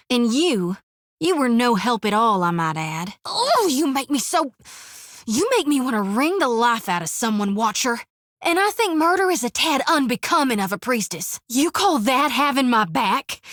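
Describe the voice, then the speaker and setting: A bashful young priestess. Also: female voice, high-pitched, a bit bright, dry recording, studio quality, exaggerated voice. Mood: hurt. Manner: earnest, bashful, self-deprecating. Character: earnest young woman priestess companion, shy and lovestruck. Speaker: game companion. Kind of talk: video game voice acting. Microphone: dry close studio mic.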